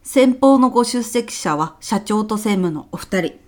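A woman's voice: medium pitch, expressive, unhurried, very noisy, lightly acted. A woman playing a polite, dutiful office employee briefing her boss in a comedic audio drama, with a businesslike, deferential manner. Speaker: former stage troupe actress.

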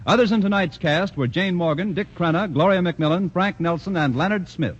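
A man announcing the show credits in a formal, measured 1940s radio style. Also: high voice, very noisy. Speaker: program announcer. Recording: muffled old radio with loud music.

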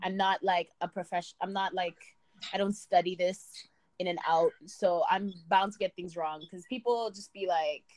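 A woman's voice: fairly low pitch, fairly even intonation, normal speed, darkish tone. A young woman from Sudan, a university student, speaking as a podcast guest in a chatty way, with many fillers and restarts. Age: young adult. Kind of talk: casual interview talk.

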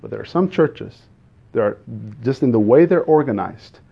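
A man from the Azores with a Portuguese accent, a native Portuguese speaker preaching a sermon in an earnest, halting way, often restarting and putting questions to the congregation. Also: mid-range voice, lively intonation, creaky, breathy edge, dull audio. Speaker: guest preacher.

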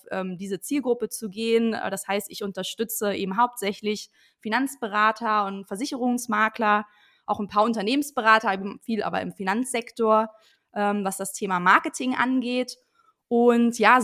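A woman's voice: medium pitch, fairly bright tone. A woman in her late twenties, a guest on a podcast, explaining her business in a chatty way with frequent fillers. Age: 20s. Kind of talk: casual interview chat.

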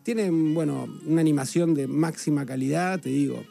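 An Argentine man with a Rioplatense accent gives a chatty animation column on a radio show. His voice is gravelly and mellow in tone, pitched a little high for a man. Faint music plays underneath. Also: moderate pace. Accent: Rioplatense Spanish. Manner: chatty, informative, with many hesitation fillers. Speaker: entertainment columnist. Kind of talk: casual radio chat.

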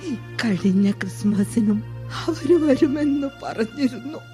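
A woman playing a lonely, widowed old mother in a radio play, talking slowly and tearfully with soft sighs. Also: a little high, animated, soft background music. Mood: sorrowful. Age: older. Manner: slow, tearful, tender speech with long sighs. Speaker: radio drama actress.

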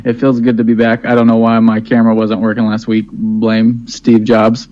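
A man's voice: mid-range voice, monotone, measured pace. An easygoing man chats on a podcast from home, chuckling now and then. The sound is a little muffled, with a faint hiss underneath. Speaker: podcast co-host. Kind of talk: casual chat. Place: home, by an open door.

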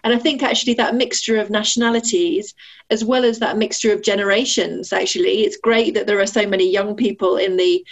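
A woman talks warmly and easily with a guest's politeness. She leans on fillers and restarts her sentences. The audio is muffled and low-fidelity. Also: steady tone, fairly quick. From Sheffield, England. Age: 40s. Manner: friendly, frequent fillers and restarts, laughs easily. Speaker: ambassador, interview guest. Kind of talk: conversational interview.

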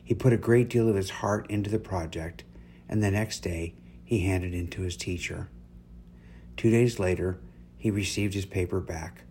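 A man with a deep, low voice reads an uplifting story aloud as its narrator. His phrasing is deliberate and measured, with pauses along the way. There is noticeable noise and hum behind him. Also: a little mellow. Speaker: story reader, host. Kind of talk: scripted inspirational story narration.

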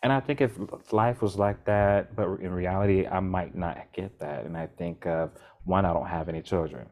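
A man's voice: deep voice, normal speed. A man co-hosting a podcast, talking casually in a rambling way with bursts of energy. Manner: rambling, restarts often, animated bursts. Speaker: podcast co-host.

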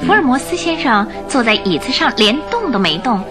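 A woman giving expressive, theatrical storytelling narration for a radio drama. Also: on the high side, a little fast, over music.

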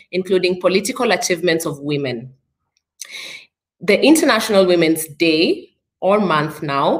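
A Kenyan woman hosting a podcast interview over a video call, with upbeat, speech-like introductions. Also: lowish voice. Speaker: podcast host. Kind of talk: live online interview.

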